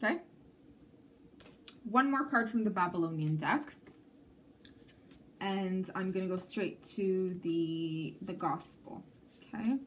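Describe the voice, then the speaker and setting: A woman's voice: on the low side, slow, pauses often, slight background noise. A woman from Canada whose first language is Armenian, giving a chatty tarot reading to camera and often checking in with the viewer. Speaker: tarot reader.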